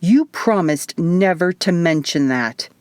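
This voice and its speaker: A woman's voice: fairly low pitch, lively intonation, takes it slowly, dry recording. A woman reading as a proud, sardonic wounded man in an audiobook, sneering and easily irritated. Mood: defensive sneering. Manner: sneering, grumbling.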